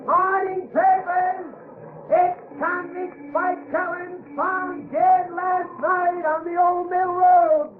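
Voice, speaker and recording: A woman playing a newsboy hawking morning papers with loud, drawn-out cries in 1930s radio drama. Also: very high-pitched, level tone. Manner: drawn-out, shouted hawker's call. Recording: echoey, noisy, narrow 1930s radio recording.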